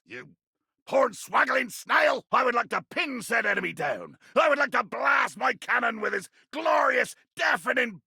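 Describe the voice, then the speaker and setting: Raspy, bombastic little sea captain. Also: male voice, high voice, bright, forceful tone, no room sound, clean studio sound, exaggerated voice. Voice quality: raspy, gravelly. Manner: bombastic, theatrical, archaic nautical insults and sudden roars. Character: tiny, grandiose, furious sea captain trapped in a glass bottle. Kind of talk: video game character acting.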